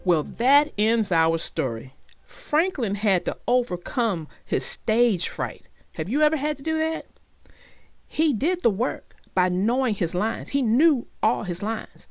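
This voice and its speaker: A woman storyteller speaks to young listeners with an expressive, reassuring delivery. Her voice sits fairly high and moves widely in pitch, while she takes her time over the words. She addresses the children directly, as a read-aloud narrator does. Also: takes it slowly, no echo.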